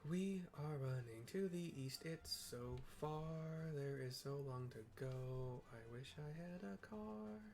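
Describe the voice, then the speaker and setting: A man's voice: medium pitch, animated, slow, gravelly, hardly any pauses, darkish tone. A man giving casual, slangy commentary on his own video game playthrough in a playful way. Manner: playful, slangy, breaks into singing and sound effects. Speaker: gamer narrating own playthrough. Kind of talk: casual gameplay commentary with read-aloud dialogue.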